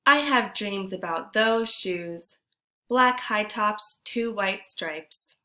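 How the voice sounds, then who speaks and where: Female primary-school read-aloud teacher. Bright, animated storytelling, medium pitch, speaks directly to kids. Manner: addresses children directly, deliberate and animated. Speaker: teacher reading a picture book aloud to children. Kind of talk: expressive storybook read-aloud with questions to young listeners.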